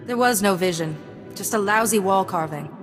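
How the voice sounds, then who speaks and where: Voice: medium pitch, moderate pace, in a large hall, over music, lightly acted. An actor playing a cool, impatient Jedi hero with a terse, dismissive manner in a video game. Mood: scornful.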